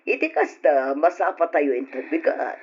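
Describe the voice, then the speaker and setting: A woman's voice: deep voice, big pitch swings, a little slow, a little mellow, breathy edge, heavily acted. A woman playing a cruel, greedy middle-aged aunt, a scornful and domineering villainess in an old radio drama. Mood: pleased. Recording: dull lo-fi old radio recording.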